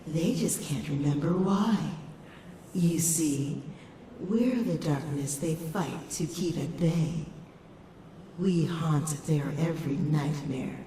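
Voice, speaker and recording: A woman's voice: low-pitched, slow pace, warm tone. A woman voicing a sinister, manipulative shadow creature in a comic dub, speaking in a coaxing, ominous manner. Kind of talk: dramatic comic dub acting. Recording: echoing hall reverb, distant, faint noise.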